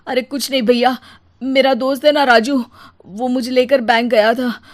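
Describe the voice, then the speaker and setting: A woman's voice: high-pitched, fairly even intonation, moderate pace, close to an everyday voice. A woman voicing a panicky, innocent younger brother in a cartoon story, pleading anxiously. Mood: panicked.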